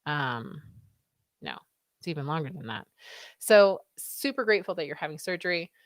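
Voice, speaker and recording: A woman's voice: sits low, lively intonation, normal speed, dry recording, studio quality. A woman with a scratchy voice hosting a live stream, chatting casually and reading out viewer comments. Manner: chatty, rambling, reads comments aloud. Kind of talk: casual chat.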